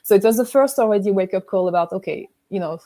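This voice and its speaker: A woman's voice: mid-range voice, quick pace. French-accented woman on a video call. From France. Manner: laughs often, relaxed and warm. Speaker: guest, high-performance coach. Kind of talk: interview answers.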